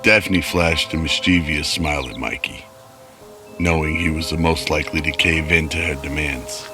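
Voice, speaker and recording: A man's voice: extremely low pitch, steady tone, moderate pace, music in the background. A man reading scripted narration for an old-style radio drama.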